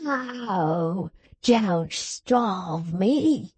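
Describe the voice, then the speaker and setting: A woman reading aloud, voicing a wretched, whimpering old father who pleads slowly. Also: medium pitch, a little mellow, slightly rough, no echo.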